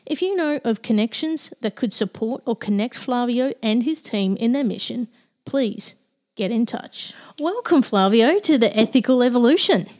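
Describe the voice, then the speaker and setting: An Australian woman hosting a podcast, reading an earnest, measured introduction and asking her guest questions. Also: a little high, lively intonation, a little mellow. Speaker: podcast host.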